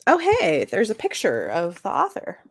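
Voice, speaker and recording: A woman co-hosting a podcast, chatting casually about books in an informal, halting way as she thinks aloud. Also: medium pitch, wildly swooping pitch, no room sound. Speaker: podcast co-host. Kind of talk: casual book discussion chat.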